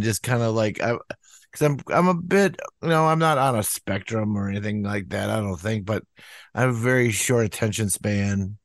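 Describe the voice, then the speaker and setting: A man musician talking casually in an interview, rambling with lots of "you know" fillers and acting out quotes in a higher voice. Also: dry recording, studio quality. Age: older. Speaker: musician guest.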